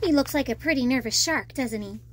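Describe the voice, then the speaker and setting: A woman storyteller reading a storybook to children in an expressive way, with chatty asides. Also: high-pitched, fairly quick, slight background noise. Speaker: children's storyteller, party princess host.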